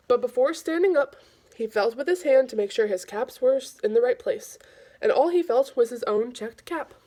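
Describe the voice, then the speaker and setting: Female storybook reader for kids, fairly high voice, informal and expressive delivery. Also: normal speed. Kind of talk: children's read-aloud, informal and expressive.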